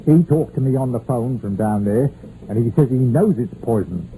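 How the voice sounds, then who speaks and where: An actor playing a dutiful police sergeant reporting plainly in clipped sentences, in an old radio drama. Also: male voice, medium pitch, normal speed, mellow, natural voice. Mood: matter-of-fact. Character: dutiful, matter-of-fact police detective sergeant. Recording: muffled old radio broadcast, hissy.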